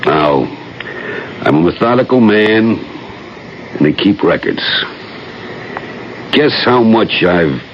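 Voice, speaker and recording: An actor playing a gruff, methodical swindler in a radio drama, terse and measured, awkwardly making amends. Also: male voice, lowish voice, expressive. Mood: solemn, contrite. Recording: muffled, very noisy 1970s radio recording.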